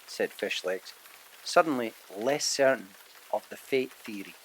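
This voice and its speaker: A man's voice: on the low side, lively intonation, measured pace, fairly bright tone, low-quality recording. Dramatic man narrating, with background noise. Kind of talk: scripted audiobook narration.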